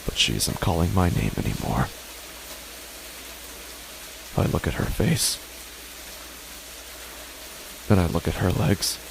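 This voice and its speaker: Guy reading a creepy horror story, kinda low voice, tense and hushed, noisy rumbly background. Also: big pitch swings, measured pace, creaky. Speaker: narrator. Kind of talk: first-person horror story narration.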